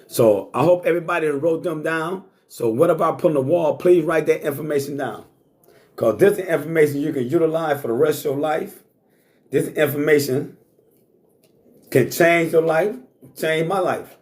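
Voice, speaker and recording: A man's voice: a little high, normal speed, a little mellow. Blunt streetwise man swearing freely. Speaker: talk show host. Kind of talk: casual monologue to live chat.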